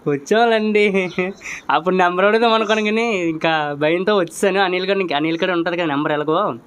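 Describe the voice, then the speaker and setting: A man with a slightly high voice makes a flirtatious phone call. He speaks politely and coaxingly, and clear background noise runs through the recording. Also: fast, a bit bright. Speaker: prank caller.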